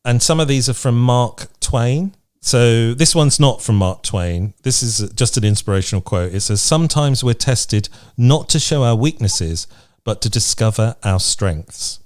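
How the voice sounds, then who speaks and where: A man's voice: medium pitch, expressive, measured pace, darkish tone. A man co-hosting a podcast in casual chat, with an encouraging, upbeat manner. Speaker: podcast co-host.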